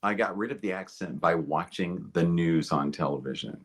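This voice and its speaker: A man from Kentucky with an American accent, talking casually and thoughtfully as an interview guest in a measured way. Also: low voice, slightly rough.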